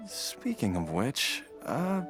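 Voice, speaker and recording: An actor playing a grateful, mild middle-aged father in a video game, gentle and thankful. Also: medium pitch, wildly swooping pitch, slow, over music. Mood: relieved.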